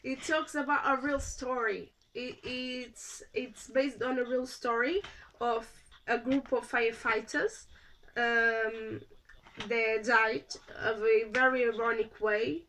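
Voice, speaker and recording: A woman's voice: fairly high pitch, fairly even intonation, slow pace, a bit bright, slight background noise, rough recording. A young woman from Portugal with a Portuguese accent, chatting casually about movies she watched, with many fillers and restarts. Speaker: vlogger. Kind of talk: casual movie review vlog.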